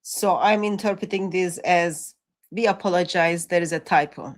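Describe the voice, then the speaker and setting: A woman's voice: lowish voice, moderate pace. A woman co-hosting a live stream in casual chat. She teases and jokes with a dry, playful manner. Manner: teasing and playful, dry humor.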